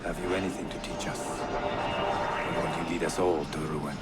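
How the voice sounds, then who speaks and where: An actor playing a resolute young assassin leader in a video game cutscene, firm, earnest and commanding, with loud music over the voice. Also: male voice, rumbling low, fairly even intonation, a little fast. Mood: stern.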